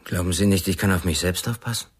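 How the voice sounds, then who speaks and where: An actor playing a cool, probing male therapist with shady dealings in a German radio crime drama, speaking in a measured way. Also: low voice, breathy edge. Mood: cool, wary.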